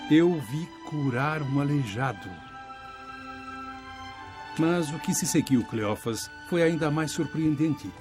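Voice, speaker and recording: An actor playing a thoughtful, devout man who narrates his letters in an animated Bible film, reflective, measured and earnest. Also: mid-range voice, natural voice. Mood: marveling. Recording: loud music under the voice.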